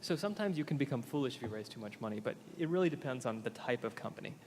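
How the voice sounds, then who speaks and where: A man's voice: medium pitch, lively intonation, very fast, background noise. Man telling an investing anecdote. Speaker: investor panelist. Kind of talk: panel discussion, anecdote. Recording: reverberant hall with faint ambience.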